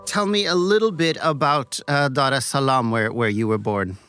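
A man hosting an interview podcast, speaking in a measured, curious way, first reading a scripted intro and then asking questions. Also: medium pitch, a bit bright, faint music behind. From raised in many countries, born in Belgium. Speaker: podcast host.

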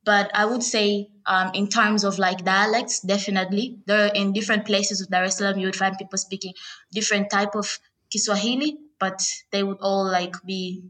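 Bright Tanzanian woman, hesitant. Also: mid-range voice, fairly even intonation, normal speed. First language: Swahili. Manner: hesitant, lots of "uh" and "like" fillers. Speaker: guest. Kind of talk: casual interview answers.

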